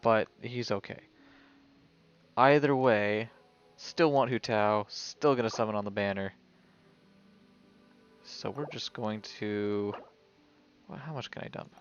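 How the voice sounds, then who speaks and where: A man's voice: on the low side, slow, pauses often, muffled recording. A man streaming a video game, giving casual live commentary and thinking aloud as if talking to himself. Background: video game music.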